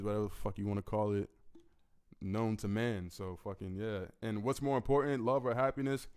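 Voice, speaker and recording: A man in his twenties with a deep, gravelly voice, a musician answering fan questions in laid-back, profane casual talk. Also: a little mellow. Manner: laid-back, profane, keeps asking "you know what I mean"; commanding, projecting delivery. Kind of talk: casual solo Q&A.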